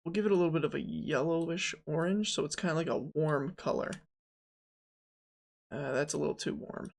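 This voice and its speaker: A young streamer in their twenties with a gravelly, fry-heavy voice at a middle pitch. They narrate their own work in a loose, joking way, with plenty of ums and asides. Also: brisk. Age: 20s. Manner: joking, rambling, self-talk with fillers. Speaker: game developer streaming. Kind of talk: casual live dev stream, thinking aloud.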